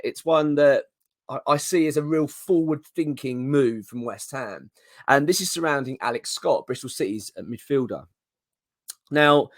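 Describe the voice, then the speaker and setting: Hoarse man chatting. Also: medium pitch, dry recording, clean studio sound. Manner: chatty, rambling, excitable with many ums and restarts. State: hoarse from a cold. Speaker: fan channel host. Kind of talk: casual solo commentary to camera.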